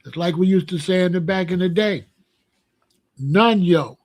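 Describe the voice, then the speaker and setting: A man with a somewhat high voice runs his own opinion show. He speaks bluntly in loose street slang and keeps firing rhetorical questions. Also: moderate pace, a little mellow. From Chicago. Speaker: show host. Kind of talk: casual opinion monologue.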